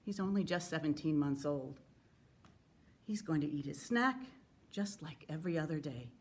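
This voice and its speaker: A woman giving a solemn, earnest and measured dramatic reading of a letter. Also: low-pitched, lively intonation, darkish tone. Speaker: actor reading historical letters.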